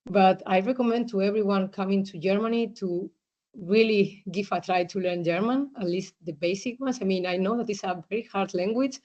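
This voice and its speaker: A woman giving a talk as a guest speaker, halting often with fillers and restarts, in fairly fluent English. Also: medium pitch, darkish tone. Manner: hesitant, many fillers and restarts. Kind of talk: online presentation talk. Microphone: video call. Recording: muffled video call audio.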